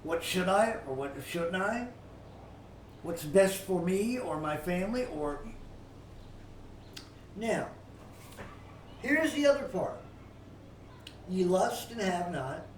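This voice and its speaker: An older woman giving informal Bible teaching as a story, in a folksy, halting way with repeated words and frequent pauses. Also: on the low side, lively intonation, darkish tone. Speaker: preacher telling a story. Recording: roomy, distant mic with faint noise.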